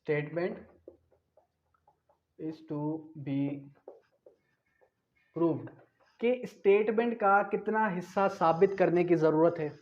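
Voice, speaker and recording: High-pitched Pakistani man lecturing, dull audio. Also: extremely slow, pauses often. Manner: didactic, asks questions and answers them himself; switches between Urdu and English terms. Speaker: law lecturer. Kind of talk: explanatory exam lecture.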